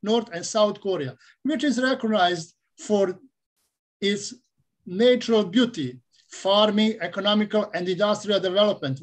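A man in his sixties from Bosnia with a Bosnian accent, giving a prepared speech as an imam in formal, reverent phrasing over a video call. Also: sits high. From Bosnia and Herzegovina. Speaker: imam and forum speaker. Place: indoors in a book-lined office, on a video call. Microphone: computer microphone on a video call.

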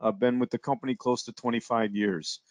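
A man opening an online training webinar in a friendly, informal way, hesitating with fillers. His voice is mid-pitched and sounds dry and close. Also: steady tone, brisk, dry recording. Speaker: applications engineer, presenter. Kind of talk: webinar presentation.

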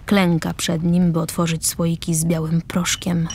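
A woman's voice: fairly low pitch, completely flat delivery, unhurried, gravelly, a little mellow, slight background noise. A woman reading stage directions as the narrator of a scripted radio play.

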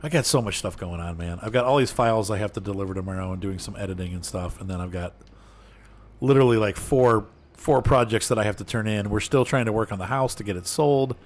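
Low-voiced male streamer, laid-back and chatty, talking to chat over gameplay. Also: fast. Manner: rambling, easygoing, chatty with viewers, laughs often. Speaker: streamer playing a farming game.

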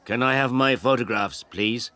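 A man's voice: mid-range voice, normal speed, bright, forceful tone, no echo, pitched above the performer's own voice, lightly acted. A man voicing a stubborn old village farmer in a story, insistent and plain-spoken.

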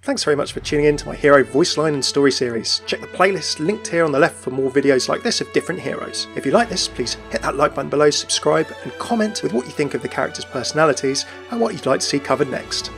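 A man presenting scripted narration as a video host, in an explanatory style. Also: a little high. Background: background music.

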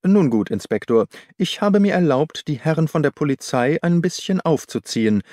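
A man voicing an astute, self-assured gentleman detective in an audiobook, precise and composed as he explains. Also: fairly high pitch, animated, moderate pace, no echo, studio quality, close to an everyday voice. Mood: composed, explanatory. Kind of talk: audiobook character dialogue.

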